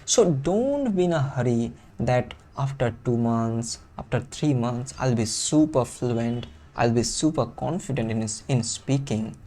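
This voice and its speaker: A man's voice: mid-range voice, expressive, measured pace, hardly any pauses, dark tone. Earnest Bengali-accented man coaching English. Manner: earnest, repeats key phrases for emphasis. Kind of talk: motivational teaching monologue.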